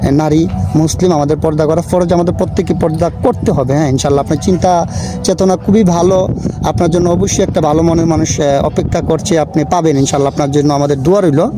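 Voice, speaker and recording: A man's voice: fairly even intonation, fast talker, music in the background. A man with a Bangladeshi accent hosting an on-location interview, repeating answers back and checking them with short questions. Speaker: interviewer and channel host. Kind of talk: on-location human-interest interview for online video. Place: outdoors in a village gourd field. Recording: noisy outdoor recording with traffic rumble.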